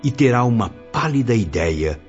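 A man's voice: mid-range voice, big pitch swings, moderate pace, close to an everyday voice. A man acting in a radio drama as an earnest, nostalgic spirit apprentice, with formal, emotive delivery. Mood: grave. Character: Camilo, earnest nostalgic male spirit apprentice telling his story. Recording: muffled lo-fi with music behind.

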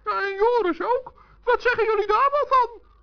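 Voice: very high voice, moderate pace, gravelly. An actor playing a good-natured, earnest little male forest gnome in a children's radio play. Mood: matter-of-fact, friendly. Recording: dull, muffled old radio recording.

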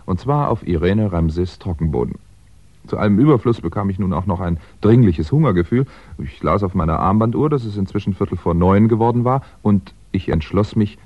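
Wry, low-voiced crime writer sleuth. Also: male voice, dry recording. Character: male crime-writer amateur sleuth, wry, easily flustered. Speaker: first-person narrator and lead character. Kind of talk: 1960s German radio crime drama acting. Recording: dull, band-limited 1960s radio recording.

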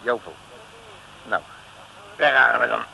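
An actor playing a cocky, self-satisfied comic detective who blusters in colloquial slang in a 1950s radio comedy serial. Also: male voice, mid-range voice, takes it slowly, gravelly. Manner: colloquial, blustering, tags phrases with questioning grunts. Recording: noisy vintage radio recording.

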